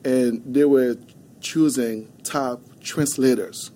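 Haitian-accented male guest, mellow and warm, quiet earnest storyteller, faint hiss behind. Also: medium pitch, fairly even intonation. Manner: soft-spoken, halting, earnest storytelling. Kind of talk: podcast interview. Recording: faint background noise.

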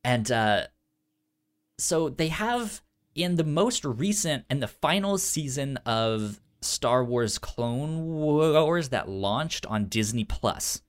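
A man with a stammer chatting casually and enthusiastically as a podcast co-host, often halting on repeated sounds. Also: mid-range voice, very animated. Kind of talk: casual unscripted podcast chat about entertainment news.

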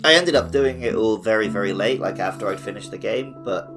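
A man's voice: fairly low pitch, brisk. A man hosting a podcast in a casual, chatty style with a wry, joking manner. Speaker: podcast host. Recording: music behind the voice. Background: plucked guitar music.

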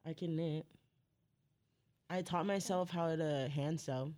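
A woman's voice: sits low, steady tone, slow, warm tone. A young woman co-hosting a casual podcast, talking in a slangy, laid-back way. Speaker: podcast co-host. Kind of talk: casual chat.

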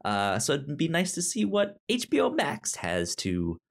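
A man's voice: fairly high pitch, huge pitch swings, measured pace, gravelly. A man hosting a podcast in casual conversation, often stuttering and repeating sounds and syllables as he talks. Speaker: podcast host.

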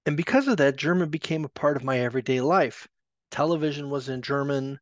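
A man co-hosting a podcast who shares a personal reflection in a steady, measured way. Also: a little high, no echo, lo-fi sound. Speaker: podcast co-host. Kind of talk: scripted reflection.

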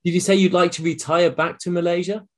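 A man's voice: high-pitched, level tone, quick pace. A man with a British accent hosting a casual, conversational interview. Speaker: podcast host, interviewer.

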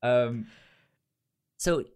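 Man with a halting, stammering delivery. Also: mid-range voice, a little mellow, clear, resonant tone. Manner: halting, stammering, repeats syllables and word starts. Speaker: podcast co-host reviewing an audio drama. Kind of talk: casual discussion.

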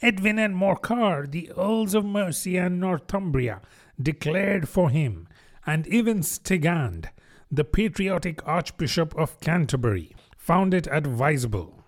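A man acts out a pompous little storybook mouse. The voice is pushed up high and swings grandly from phrase to phrase. It lectures with touchy self-importance. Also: animated, unhurried. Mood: self-important. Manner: pompous, declamatory. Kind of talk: children's storybook narration.

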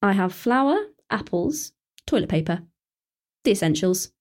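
A woman's voice: mid-range voice, expressive, a little slow, close to an everyday voice. A woman with a British accent playing an anxious, sensible teenage daughter in a naturalistic radio play, earnest and cautious.

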